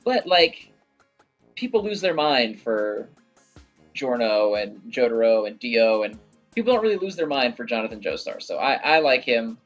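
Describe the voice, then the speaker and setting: Male gaming creator, mid-pitched voice, casual rambling fan commentary with mild swearing, game music underneath. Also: very animated, moderate pace, highs cut off. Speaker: gaming video creator and host. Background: video game music.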